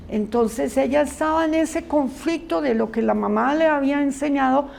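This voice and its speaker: A woman's voice: high voice, creaky, a little mellow, a little hiss or hum. A woman Bible teacher giving a conversational classroom lecture to students. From Colombia. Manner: earnest, conversational teaching with asides.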